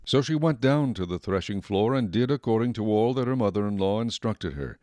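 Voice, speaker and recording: A man narrates scripture in a measured, steady way. His voice is low, and the recording is dry and close, with no room sound. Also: dry recording.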